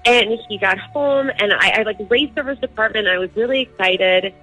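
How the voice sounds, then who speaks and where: A woman's voice: mid-range voice, a little fast, pushed and bright, slightly rough, soft background music, poor audio. A young adult woman caller telling a personal story casually, peppering it with "like". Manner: conversational, frequent "like".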